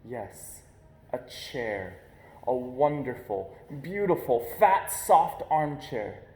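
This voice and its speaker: A man reading a children's picture book aloud in an expressive storytelling style, pausing deliberately for emphasis. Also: medium pitch. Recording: echoey, roomy sound.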